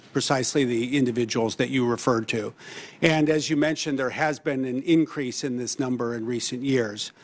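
A man in his fifties testifying as a witness, answering earnestly and explaining at length, seated at a desk microphone in a large hearing room. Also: mid-range voice. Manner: earnest, explanatory, with fillers and restarts.

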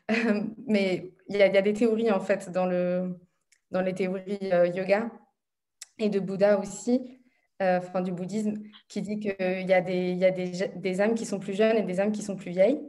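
A woman's voice: medium pitch, steady tone, moderate pace, dark tone. A young woman in her twenties, a student interviewer, talking in a chatty way over a video call from her room at home. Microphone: video call laptop mic.

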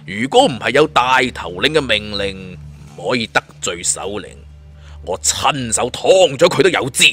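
A man's voice: medium pitch, very animated, normal speed, a bit bright, faint music behind. A man voicing a menacing, sneering bandit in an audio story, crude and jeering.

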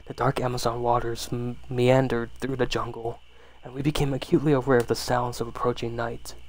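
A man softly reading a horror story in ASMR style, unhurried, with the odd stumble over words. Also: medium pitch, flat delivery, rough recording.

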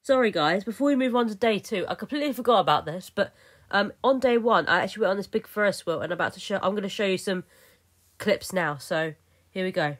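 A woman's voice: mid-range voice, fast. A young woman narrating her vlog footage in a chatty, rambling way, full of fillers and restarts. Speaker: vlogger. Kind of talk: casual voice-over narration.

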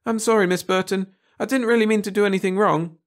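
A man's voice: high voice, fairly even intonation, fast talker, pitched above the performer's own voice, natural voice. A man voicing a meek, apologetic eleven-year-old girl in a storybook reading, pleading and hesitant. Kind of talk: storybook audiobook character voice.

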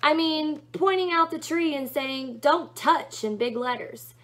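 A woman's voice: high-pitched, a little slow, a bit bright, voice pushed up. A woman reading a storybook aloud, voicing a sardonic, casual male serpent demon who muses and questions. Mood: wry. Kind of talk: storybook read-aloud character voice.

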